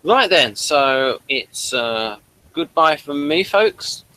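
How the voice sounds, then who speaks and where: A man with a fairly high, very bright voice chats as co-host of a review show. He is agreeable and conversational, with slightly hesitant phrasing. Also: expressive, takes it slowly. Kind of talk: casual review chat.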